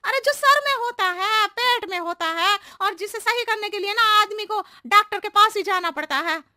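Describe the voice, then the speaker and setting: Woman doing shrill riddling cartoon maid. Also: normal speed, dry recording, clean studio sound, full character voice. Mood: cheeky. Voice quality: very high, shrill and bright. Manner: roundabout, playful, cheeky pleading. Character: scatterbrained chatty adult housemaid woman who talks in riddles. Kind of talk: cartoon story dubbing.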